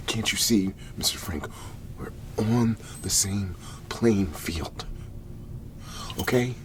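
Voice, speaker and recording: A man playing a weary, desperate cleanup agent in an ASMR roleplay, pleading in halting, breathy whispers and murmurs. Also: mid-range voice, background noise, natural voice. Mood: anxious, pleading.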